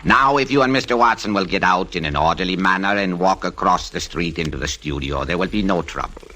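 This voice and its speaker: An actor playing a megalomaniac cult master in an old radio mystery, pompous, formal and coldly polite. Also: male voice, sits low, fairly quick, pushed and bright. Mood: coldly polite menace. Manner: grandiose, pompous, formal diction. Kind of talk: 1940s-50s radio mystery acting.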